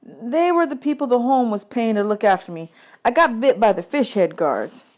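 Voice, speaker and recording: A woman's voice: fairly high pitch, expressive, normal speed, darkish tone, pitched above the performer's own voice, lightly acted. Earnest young orphan boy read by a woman, polite, respectful and talkative, for a storybook. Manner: respectful, matter-of-fact.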